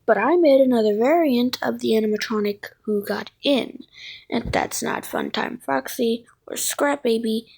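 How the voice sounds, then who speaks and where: A woman's voice: on the high side, normal speed, vocal fry, darkish tone, a little hiss or hum. A woman hosting casual fan commentary on game characters, thinking aloud and often correcting herself. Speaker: video creator, host.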